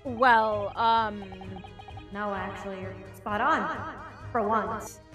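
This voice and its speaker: A woman voicing a polite, earnest young male lawyer who is quick to get exasperated, in a fan dub of a game, with game music behind. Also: medium pitch, close to an everyday voice.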